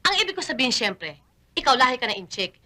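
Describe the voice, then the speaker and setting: A woman's voice: on the high side, sing-song, quick pace, pushed and bright. An actress playing a vain, flirty, dramatic woman in a sitcom, with theatrical delivery and English mixed into her lines. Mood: coy. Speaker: comic lead in a sitcom. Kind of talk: broadcast sitcom acting.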